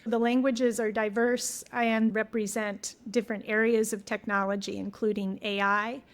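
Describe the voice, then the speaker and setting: A woman's voice: on the high side, level tone, moderate pace. A woman guest editor explaining her special issue in an organized, enthusiastic way during an interview. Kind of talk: academic interview.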